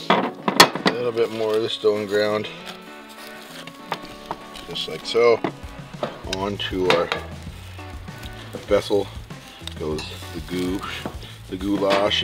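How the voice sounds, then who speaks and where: A man chatting casually to viewers as an outdoor camping and fishing vlogger, with a folksy, playful, exuberant manner full of quirky slang. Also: fairly low pitch. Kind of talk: casual vlog chat to viewers. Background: music.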